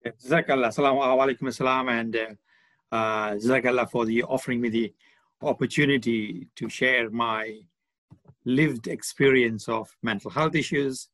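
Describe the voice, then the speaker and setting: Male interviewee, 60s, medium pitch, discursive conversational delivery, close dry recording without room echo. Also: steady tone, normal speed, a bit bright. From born in India, grew up in Malawi. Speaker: podcast guest, charity development manager. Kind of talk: conversational interview, reflective account. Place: remote online call.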